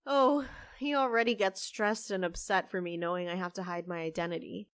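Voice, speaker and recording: An actress playing a young half-fae newcomer, secretive and good-hearted, with a hesitant manner in an audio drama. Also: female voice, on the low side, fairly even intonation, a little fast, smooth, no echo, studio quality, close to an everyday voice. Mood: earnest, curious.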